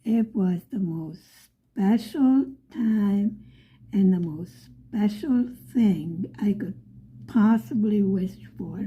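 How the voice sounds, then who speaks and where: A middle-aged woman from Buffalo, New York, telling a story in a soft, often whispery voice. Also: mid-range voice, highs cut off. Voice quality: frequently whispery, breathy delivery. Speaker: vlogger.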